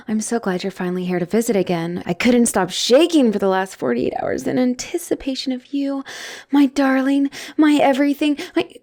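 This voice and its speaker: A woman playing an obsessive, lovestruck asylum patient in a dramatic roleplay audio, theatrical and doting with a menacing edge. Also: high voice. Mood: gushing, excited. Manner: theatrical, doting, swinging between gushing and hushed menace.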